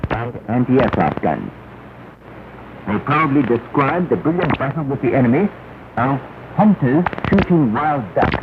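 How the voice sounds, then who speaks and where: Through a thin shortwave signal thick with noise, a man reads 1960s propaganda news. He is formal and emphatic, placing each phrase deliberately. His mid-pitched voice rises and falls in lively swings. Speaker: radio news announcer. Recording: noisy 1968 shortwave radio, narrow band, rumble.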